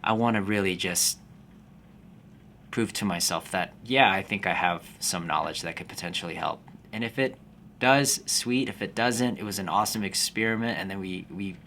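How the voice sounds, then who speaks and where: A man in his forties, born in the Philippines, a fitness business coach talking to camera in a calm, slow, unhurried way. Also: fairly low pitch, slight background noise. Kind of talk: casual talking-to-camera vlog.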